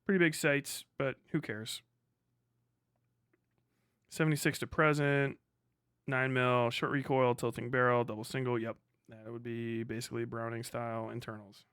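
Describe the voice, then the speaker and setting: A man's voice: medium pitch, flat delivery, normal speed, fairly bright tone, clean tone, no echo, clean studio sound. A man hosting a livestream with casual, profane, blunt and sarcastic commentary. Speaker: livestream host.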